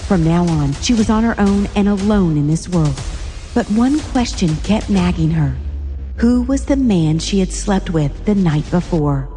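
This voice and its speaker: A woman's voice: on the low side, expressive, measured pace, dark tone, in a large hall, soft background music. A woman reading scripted narration for a dramatic story.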